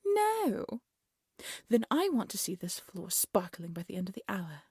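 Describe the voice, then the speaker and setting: A woman playing a haughty, cruel evil queen in a fairy-tale audio drama, speaking in an imperious, condescending way with a breathy voice. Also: mid-range voice, big pitch swings, measured pace, a little mellow, no echo, clean studio sound, exaggerated voice. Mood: imperious, scolding. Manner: imperious, scolding, condescending, theatrical.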